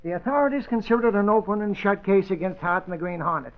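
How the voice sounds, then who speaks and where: An actor playing a gruff, sarcastic, impatient city editor in an old-time radio drama. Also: male voice, high-pitched, fast. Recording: tinny old radio recording.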